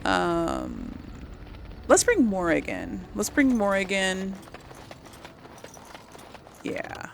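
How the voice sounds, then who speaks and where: A woman's voice: medium pitch, huge pitch swings, drawn-out words, creaky, long pauses, a little hiss or hum. Chatty woman doing casual game commentary. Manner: chatty, thinking aloud. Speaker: video game streamer.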